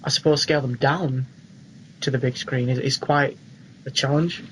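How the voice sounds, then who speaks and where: A man's voice: mid-range voice, steady tone, moderate pace, a bit bright, slight background noise, low-quality recording. A young British man co-hosting a podcast, talking informally and agreeably in casual chat. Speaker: podcast co-host. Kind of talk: casual film and game chat.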